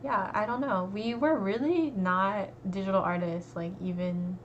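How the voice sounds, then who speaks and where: A woman's voice: medium pitch, a little slow. Young woman chatting, muffled recording. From United States. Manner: relaxed, many fillers like "like" and "um". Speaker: art student. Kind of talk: casual conversation. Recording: dull lo-fi recording with faint noise.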